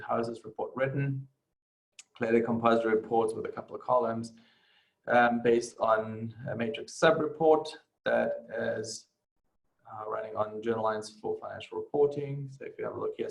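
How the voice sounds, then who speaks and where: A man talking through an informal screen-share software tutorial, halting as he goes and often adding short tag questions. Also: fairly low pitch, creaky, poor audio. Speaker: software instructor.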